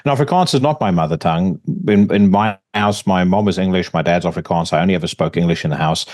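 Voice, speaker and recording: A man from Africa, hosting a podcast in relaxed, casual talk with some swearing and stuttered starts. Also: sits low, fast. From South Africa. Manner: relaxed, swears, repeats words when starting. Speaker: podcast host. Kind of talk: casual conversation.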